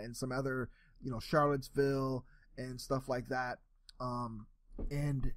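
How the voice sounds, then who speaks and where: A man's voice: mid-range voice, a little mellow, no room sound. A young man from New York giving a solo sports opinion talk, rambling with frequent restarts and repeated words. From New York, USA. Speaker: sports commentary host.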